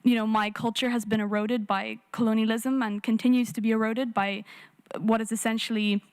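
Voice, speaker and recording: A woman's voice: a little high, flat delivery, a little fast, smooth. A young Irish woman answering on a summit panel, earnest and hesitant, with many fillers, in a conference room. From Ireland.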